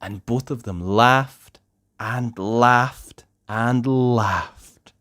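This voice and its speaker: A storyteller reading a children's book aloud in a theatrical, deliberate way with drawn-out emphasis. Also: mid-range voice, a bit bright, no room sound, studio quality. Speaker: storybook narrator. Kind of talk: expressive children's read-aloud narration.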